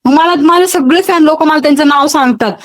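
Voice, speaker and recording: A woman's voice: high voice, a little fast. A woman with a Maharashtrian accent in an argumentative phone call, scolding and nagging her caller with question after question. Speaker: social media video creator taking a fan's call.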